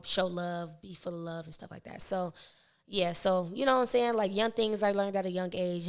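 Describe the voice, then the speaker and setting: Raspy young woman chatting loosely. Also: on the low side. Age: 20s. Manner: rambling, many fillers and restarts. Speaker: rapper and podcast guest. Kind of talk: casual interview chat.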